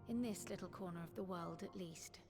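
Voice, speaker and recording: A woman's voice: fairly low pitch, fairly quick, over music, natural voice. A woman voicing a formal, eloquent fantasy game scholar with archaic, solemn phrasing. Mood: gentle farewell.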